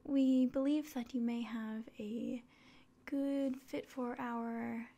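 Soft, slow, high-pitched ASMR woman. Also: steady tone. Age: 20s. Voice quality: soft, breathy, often whispering. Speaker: ASMR performer. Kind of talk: ASMR roleplay as an alien doctor giving an exam. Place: small quiet room indoors.

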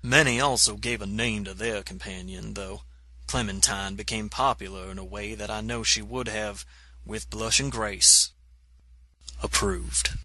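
A man's voice: lowish voice, steady tone, bright, forceful tone, no room sound, full character voice, rough recording. An actor playing a proud, inventive army officer who recounts his work formally in an audio-drama journal. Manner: formal, measured, old-fashioned wording.

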